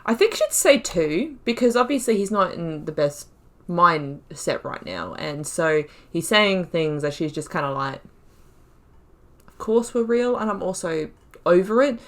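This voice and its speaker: A woman with a slightly low voice hosts a game playthrough. She talks informally and thinks aloud, with a wry touch as she weighs choices and reads options. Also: big pitch swings, a little slow, a bit bright. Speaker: gaming video host. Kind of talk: casual playthrough commentary with story analysis.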